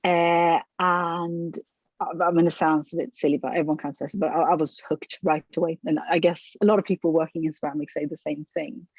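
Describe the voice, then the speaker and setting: A middle-aged woman from Sweden, a potter answering interview questions thoughtfully, with frequent pauses, fillers and restarts. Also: low voice, steady tone, a little mellow, husky, no echo, dull audio. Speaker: ceramic artist, interviewee.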